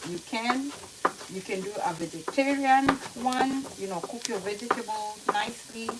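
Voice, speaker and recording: A woman with a Kenyan accent giving a casual cooking demonstration to viewers, explaining step by step in a halting, unhurried way. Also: fairly high pitch. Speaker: home cook hosting a cooking tutorial. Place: kitchen, indoors. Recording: noisy kitchen, sizzling frying sounds. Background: frying sizzle, stirring, clicks.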